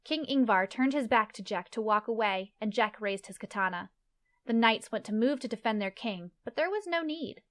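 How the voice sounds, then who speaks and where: A woman's voice: a little high, expressive, normal speed, fairly bright tone. A woman reading scripted narration for a fantasy story as its narrator.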